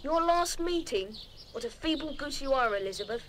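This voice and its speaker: A woman's voice: sits high, lively intonation, moderate pace, a bit bright, slight background noise. A woman playing a sensible, encouraging English schoolboy in an audio drama. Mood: scolding. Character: sensible, encouraging schoolboy prefect who loves gardening. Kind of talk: full-cast audio drama acting.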